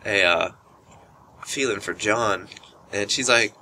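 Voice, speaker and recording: Bright-voiced young man telling stories, noisy audio. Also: slow pace. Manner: animated retelling, acts out quoted speech. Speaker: storytelling vlogger. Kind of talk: casual anecdotal storytelling to camera. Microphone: built-in camera microphone. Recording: noisy low-quality camera microphone.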